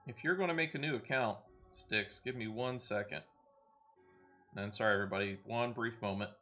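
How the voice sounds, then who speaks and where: A man's voice: lowish voice, moderate pace, bright, forceful tone, soft background music. A man hosting a game livestream, chatting casually in a helpful, hesitant, explanatory way. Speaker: game streamer, host.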